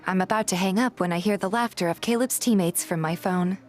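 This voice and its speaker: A woman's voice: medium pitch, brisk. Woman narrating a story over music. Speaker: narrator and voice actor of a fan dub. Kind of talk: scripted first-person story narration and reading.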